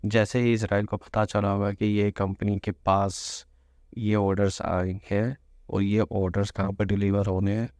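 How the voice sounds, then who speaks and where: A man's voice: on the low side, flat delivery, measured pace, a little mellow, dry recording, clean studio sound. A man presenting an informal news explainer, mixing in English terms as he goes. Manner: mixes Hindi with English terms.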